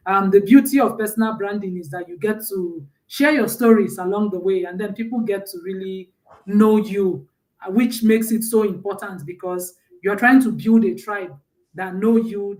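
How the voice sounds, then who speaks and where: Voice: mid-range voice, a little mellow, far from the mic, low-quality recording. Storytelling speaker with a Nigerian accent. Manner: conversational, often asks "right?" and retells stories from memory. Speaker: guest speaker on mentorship. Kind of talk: personal storytelling talk.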